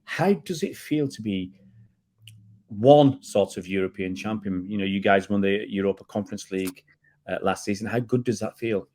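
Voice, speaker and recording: A man's voice: on the low side, normal speed, darkish tone. Chatty middle-aged man hosting a fan chat. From England. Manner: chatty, repeats words and restarts. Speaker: fan channel host. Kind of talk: casual football chat.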